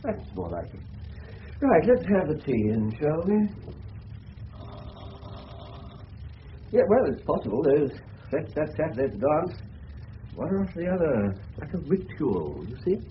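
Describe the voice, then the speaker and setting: An actor playing an eccentric, shrewd older English general who questions people in a genial, digressive, slightly pompous way in a radio drama. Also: male voice, on the high side, very animated, normal speed. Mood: musing. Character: eccentric, shrewd older gentleman general turned amateur detective. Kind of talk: 1970s radio drama acting. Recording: dull, noisy old radio broadcast recording.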